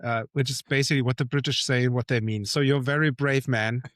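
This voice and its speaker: A man's voice: mid-range voice, fairly even intonation, fast talker, no room sound, clean studio sound. A man from Canada hosting a casual podcast interview, chatty and hesitant and stumbling over his words as he asks long questions. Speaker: podcast host.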